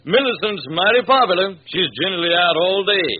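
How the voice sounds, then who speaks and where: A man's voice: high voice, animated, a little fast, slightly rough. A man playing a folksy, evasive ranch owner in an old-time radio drama. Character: cigar-chewing, evasive male dude ranch owner. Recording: tinny, hissy vintage radio recording.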